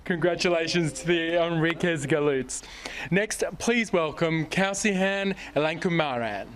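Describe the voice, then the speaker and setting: Male MC, high voice, unhurried, formal, noisy outdoor feed. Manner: measured, welcoming names one by one. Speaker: ceremony announcer. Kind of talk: formal announcing. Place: outdoors by a lake.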